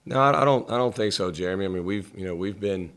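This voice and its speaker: A middle-aged man, the head coach, answering questions at a postgame press conference with a table mic, measured and halting, repeating words as he thinks. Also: sits low, fairly bright tone.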